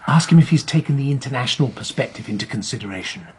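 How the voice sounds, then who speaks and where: A man's voice: medium pitch, expressive, quick pace, a little mellow, a little hiss or hum, lightly acted. A man playing a bossy, officious chief inspector in a radio drama. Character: bossy male chief inspector prompting from nearby.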